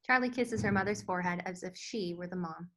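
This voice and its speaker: A woman's voice: on the low side, brisk, fairly bright tone. A woman reading scripted stage directions as the narrator of a screenplay table read. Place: virtual table read over video call.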